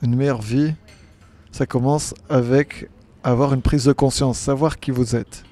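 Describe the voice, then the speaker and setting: A man interpreting a panel speaker simultaneously, talking in halting phrases with frequent restarts and self-corrections. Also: mid-range voice, steady tone, warm tone. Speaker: conference interpreter.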